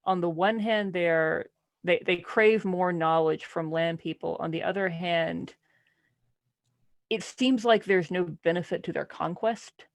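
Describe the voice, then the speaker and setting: A podcast panelist with a creaky voice and a close, dry sound, talking casually about a book. The delivery is animated and incredulous, circling back through questions and restarts. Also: medium pitch, measured pace, no echo.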